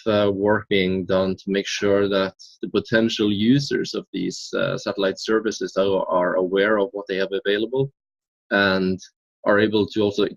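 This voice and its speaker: Soft-spoken young Norwegian man, low voice. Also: creaky, no room sound, dull audio. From Norway. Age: 20s. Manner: earnest, thoughtful, many hesitation fillers. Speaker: interview guest. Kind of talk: casual interview answers.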